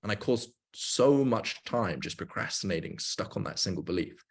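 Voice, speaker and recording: A man in his twenties speaks on the low side of the male range. He sounds like a livestream host and coach, explaining in casual language and repeating points for emphasis. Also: lively intonation, normal speed, vocal fry, slightly rough. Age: 20s.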